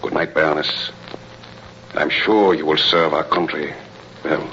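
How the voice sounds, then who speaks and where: Male colonel and secret agent, low-voiced, solemn, theatrical; muffled vintage radio drama. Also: steady tone, unhurried, pushed and bright, full character voice. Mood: formal. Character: grave, devoted spy posing as German colonel. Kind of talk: 1930s radio melodrama acting. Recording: muffled, noisy old radio broadcast.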